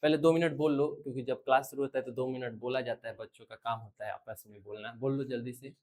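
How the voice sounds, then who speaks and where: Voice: medium pitch, steady tone, fast, a little mellow. Indian science teacher, question-and-answer lecturing. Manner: repeats questions and answers them, frequent check-in tags. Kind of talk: classroom lecture to school students.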